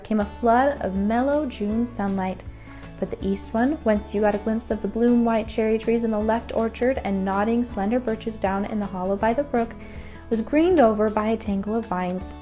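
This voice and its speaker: A woman's voice: on the high side, normal speed, darkish tone. A woman narrating a children's bedtime story from a script. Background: music.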